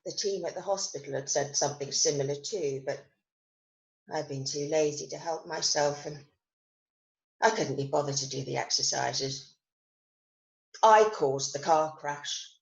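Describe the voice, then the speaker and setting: An actress performs a wistful, self-critical woman in a dramatic monologue. Her voice sits a little low and she takes her time, with emphatic phrasing and deliberate pauses. The recording has a clear room echo. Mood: regretful, resolute. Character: self-critical woman recovering from a car crash, wry and wistful. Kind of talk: dramatic monologue reading of new writing. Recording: roomy, echoing online recording.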